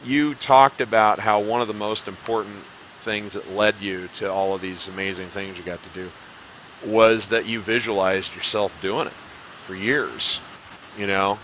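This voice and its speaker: A man's voice: fairly low pitch, steady tone, moderate pace, fairly bright tone, slight background noise, rough recording. A man hosting a casual music interview, asking long, winding questions with enthusiasm.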